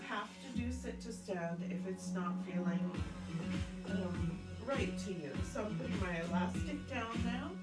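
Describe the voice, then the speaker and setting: A stuffy-nosed woman coaches a seated workout in an easy, chatty way while counting out reps. She sounds set back from the mic in a roomy space, and loud music plays under her the whole time. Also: mid-range voice, unhurried, recorded from a distance. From Canada. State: stuffed up, congested. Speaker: fitness instructor. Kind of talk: guided chair workout instruction. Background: loud upbeat music.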